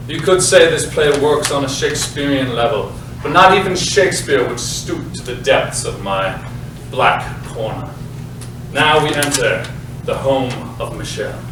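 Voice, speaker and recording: A man playing a pompous, defensive playwright, deliberately addressing the audience in a comedic staged monologue. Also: medium pitch, close to an everyday voice. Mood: grandiose. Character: pompous self-important playwright, bitterly defensive about his divorce. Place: live staged reading in a roomy space. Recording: very echoey room, distant mic, hiss.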